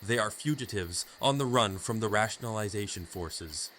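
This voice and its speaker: A man's voice: on the low side, animated, moderate pace, fairly bright tone, slight background noise. A man playing an earnest fugitive leader in an audio drama, speaking seriously and formally. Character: earnest male fugitive leader, keeper of the word.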